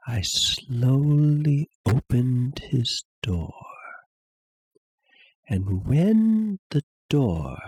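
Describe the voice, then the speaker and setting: A man voicing an obsessed madman who insists he is sane, in a theatrical, halting dramatic horror reading with a breathy, husky voice. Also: medium pitch, darkish tone, no room sound, exaggerated voice, poor audio. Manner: halting, theatrical, with long pauses and sudden swells. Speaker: narrator.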